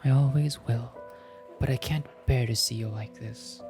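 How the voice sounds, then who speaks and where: A man's voice: medium pitch, fairly even intonation, moderate pace, music in the background, close to an everyday voice. Man voicing a sorrowful, imploring wife. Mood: tearful pleading. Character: sorrowful, weeping wife, gentle but resolute actress. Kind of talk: visual novel voice acting.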